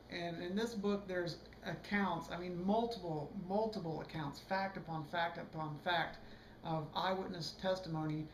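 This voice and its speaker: A hoarse man giving a casual, rambling video book review, full of fillers and restarts. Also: high voice, reverberant, a little hiss or hum, low-quality recording.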